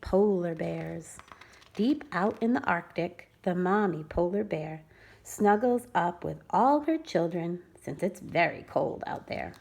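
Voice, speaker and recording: A woman preschool teacher reading a rhyming picture book aloud to children with expressive storytelling. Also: lowish voice, takes it slowly, vocal fry. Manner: expressive, sing-song storytelling.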